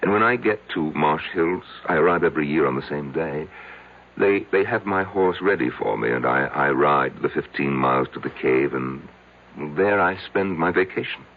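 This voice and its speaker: Over a tinny old radio broadcast comes a self-loathing, betrayed husband in middle age. His voice is extremely deep and full of gravel, and he confesses in hesitant, repeated fragments. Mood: quiet, matter-of-fact. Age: middle-aged. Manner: halting, hesitant, repeating himself. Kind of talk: radio drama acting.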